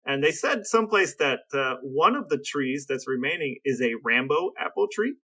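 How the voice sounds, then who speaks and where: Bright-voiced man sharing facts. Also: a little high, lively intonation, normal speed. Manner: explains facts at length, dry jokes. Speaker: podcast co-host. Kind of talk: casual chat.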